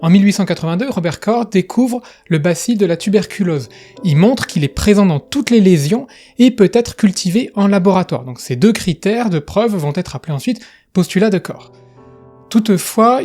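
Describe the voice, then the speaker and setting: Male video essayist, slightly high mellow voice, talks casually with asides over faint music. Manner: chatty, with asides and fillers. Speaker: educational video host. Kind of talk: casual explanatory narration.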